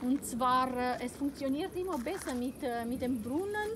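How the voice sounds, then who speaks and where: A woman's voice: high voice, animated, normal speed, noisy background. A middle-aged woman, not a native German speaker, giving an improvised tour of a school playground with many fillers and self-corrections, recorded outdoors on a phone. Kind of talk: improvised walking presentation.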